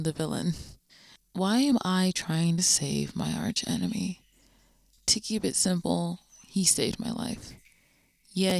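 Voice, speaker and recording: A woman's voice: lowish voice, creaky. A woman playing a cocky, sarcastic supervillain with a grudging code of honour in a radio drama. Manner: dry, sarcastic, self-assured. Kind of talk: first-person radio drama monologue and dialogue.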